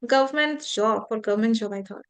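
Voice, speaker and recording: A woman's voice: a little high, a little fast. A woman hosting a conversation on a video call, asking questions hesitantly. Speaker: host. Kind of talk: casual conversation practice.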